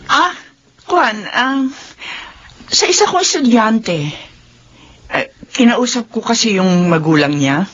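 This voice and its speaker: A woman's voice: on the high side, very animated, takes it slowly, pauses often, fairly bright tone, slightly rough, highs cut off, heavily acted. A woman playing an earnest, dignified middle-aged school principal and mother in a radio drama, speaking politely and with measure. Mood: defensive.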